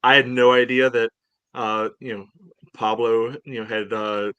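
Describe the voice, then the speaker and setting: A man casually discussing a match as a podcast co-host, in halting, meandering phrases. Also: medium pitch, flat delivery, bright, forceful tone, smooth, no echo, muffled recording. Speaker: soccer fan podcast co-host.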